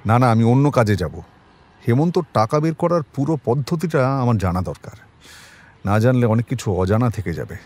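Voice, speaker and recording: Man acting a commanding mid-pitched detective. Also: lively intonation, normal speed, darkish tone, natural voice. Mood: calm, decisive. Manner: terse, authoritative, dryly probing. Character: shrewd, commanding male detective. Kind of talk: audio drama acting.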